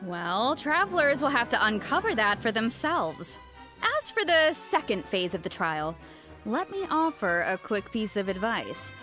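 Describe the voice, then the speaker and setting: A woman's voice: a little high, very animated, measured pace, pushed and bright. A woman co-hosting a video game news program, enthusiastic and delivering scripted updates with some banter. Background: background music.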